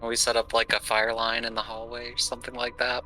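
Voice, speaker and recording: A man's voice: medium pitch, completely flat delivery, fairly quick, sharp tone, a little hiss or hum, poor audio. A man playing a tabletop roleplaying game, casually stating what his character does.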